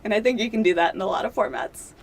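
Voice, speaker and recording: A woman's voice: mid-range voice, quick pace. Chatty young woman with filler words. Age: young adult. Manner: chatty and self-deprecating, many fillers and laughs. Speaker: doctoral candidate interviewee. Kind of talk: casual interview.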